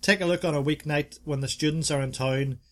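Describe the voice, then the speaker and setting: A man's voice: a little high, fairly even intonation, fairly quick. A man co-hosting a casual podcast, chatting about bars and reading reviews aloud with playful asides. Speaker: podcast co-host.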